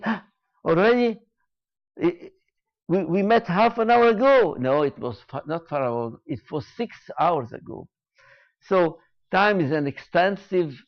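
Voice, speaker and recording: A man giving a reflective spoken lecture, slow and deliberate, repeating key phrases with frequent hesitations. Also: fairly high pitch, muffled recording. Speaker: speaker giving a talk.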